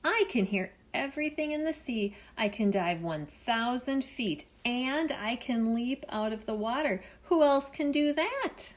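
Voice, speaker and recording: A woman's voice: a little high, expressive, a little slow, few pauses, voice pushed up. A woman voicing a dolphin that brags about its hearing and diving, in a read-aloud storybook. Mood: bragging. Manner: eager, bragging. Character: eager bragging dolphin.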